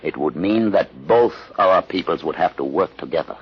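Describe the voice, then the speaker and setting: An actor playing a grave, learned leader of outcasts in a solemn, measured 1950s radio drama style. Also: male voice, a bass voice, lively intonation, slightly rough, heavily acted. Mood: measured, guarded. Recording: dull lo-fi old radio recording.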